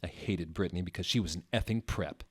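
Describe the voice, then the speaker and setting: Male narrator, lower register, for dramatic and tongue-in-cheek story reading. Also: quick pace, breathy edge. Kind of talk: dramatic comedic reading of fan fiction.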